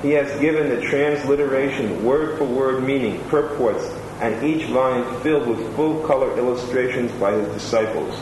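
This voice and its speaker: A man's voice: mid-range voice, moderate pace, hardly any pauses. A man reading reviews aloud to an elder in casual conversation, adding short asides between passages. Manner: reads steadily, adds brief asides. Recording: echoey room with loud noise.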